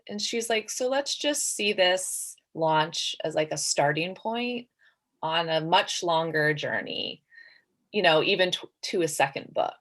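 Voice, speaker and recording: A woman's voice: sits low, creaky, a bit bright. A woman, a debut author, talking casually on a panel and often saying "like".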